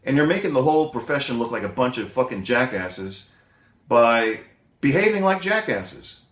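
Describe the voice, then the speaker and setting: A man's voice: medium pitch, big pitch swings, normal speed, fairly bright tone, echoey room. A man hosting a casual monologue, blunt and rambling with fillers, still a little wheezy from bronchitis. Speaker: chiropractic doctor, channel host.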